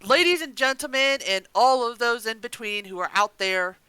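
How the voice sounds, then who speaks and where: Female review co-host: enthusiastic, high and bright, casual chat delivery. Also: lively intonation, moderate pace, smooth, no room sound. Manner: gushing, enthusiastic. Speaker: podcast co-host reviewing an audio drama.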